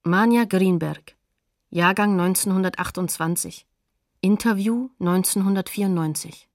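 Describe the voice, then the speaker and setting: A woman's voice: medium pitch, a little slow, dry recording, studio quality. A woman announcing sources in a factual, formal scripted narration for a radio documentary.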